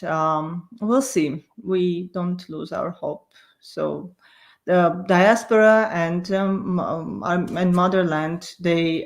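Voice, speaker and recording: Middle-aged Armenian-accented woman, halting speech. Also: deep voice. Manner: halting, searching for words. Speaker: interviewee. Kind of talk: reflective personal interview.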